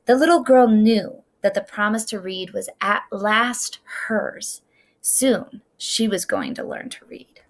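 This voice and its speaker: A middle-aged woman reading a children's story aloud with deliberate, expressive, dramatic phrasing. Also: mid-range voice.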